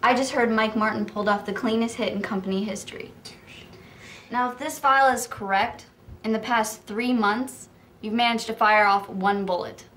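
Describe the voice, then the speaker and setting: A man's voice: very high voice, fairly bright tone, echoey room, distant mic. An actor playing a curt, no-nonsense boss of a hitman company who is judging his agents. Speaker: boss evaluating hitmen.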